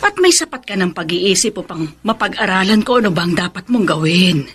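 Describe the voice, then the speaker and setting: An actress playing a grave, counselling middle-aged Filipino mother, measured and admonishing, in a radio drama. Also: mid-range voice, expressive, fairly bright tone, slightly rough. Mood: stern concern.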